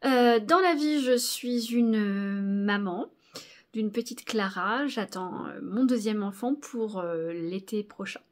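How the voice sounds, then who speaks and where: A woman in her thirties from Normandy, chatting casually to camera in a knitting vlog, hesitant with many fillers and quick laughs. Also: mid-range voice. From Normandy, France. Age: 30s. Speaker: knitting video host. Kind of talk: casual monthly vlog chat.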